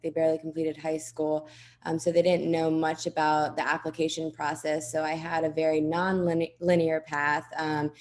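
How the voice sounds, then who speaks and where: A woman with a somewhat low voice speaks casually as she introduces herself on a panel. She pokes a little fun at herself now and then. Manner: casual, self-deprecating. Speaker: doctoral student panelist.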